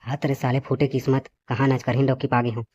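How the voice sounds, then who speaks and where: A man's voice: medium pitch, little pitch movement, brisk, a little mellow, lightly acted. A man voicing a weary, grumbling male cartoon character in comic dialogue, complaining sarcastically with a Chhattisgarhi accent. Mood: grumbling refusal. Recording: dull lo-fi audio, faint noise.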